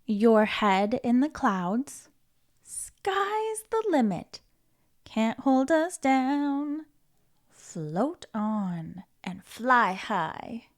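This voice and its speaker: A woman reading a children's picture book aloud in a gentle, playful, reassuring way, sometimes giggling. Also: on the high side, very animated, extremely slow. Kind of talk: read-aloud storytime.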